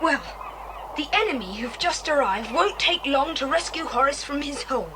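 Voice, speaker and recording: A brisk, commanding young boy in a children's adventure drama. The voice is high and lively, earnest in giving orders, on a noisy old cassette. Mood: resolute. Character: Jack, bold decisive boy leading the children. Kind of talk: children's audiobook drama acting. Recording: hissy, rumbling 1980s cassette transfer.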